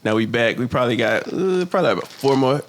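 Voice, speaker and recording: A man's voice: mid-range voice, expressive, quick pace. A man hosting a podcast in a laid-back, joking, slangy way. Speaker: podcast co-host. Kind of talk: casual chat.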